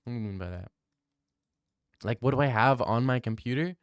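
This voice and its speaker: Young male streamer, loose profane banter, smooth voice, dry close sound. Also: mid-range voice, a little fast, dry recording. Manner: loose, profane banter, reads chat aloud and riffs. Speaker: streamer answering chat. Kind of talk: casual live-stream chat.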